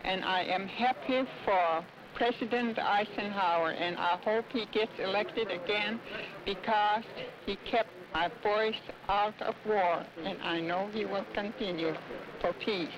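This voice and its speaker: A woman's voice: medium pitch, measured pace, lo-fi sound, a crowd in the background. A middle-aged woman from Alaska speaking in an outdoor 1950s newsreel interview. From Alaska, United States. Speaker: convention participant interviewee. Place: outdoors, windy city street. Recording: noisy outdoor 1950s newsreel, street noise.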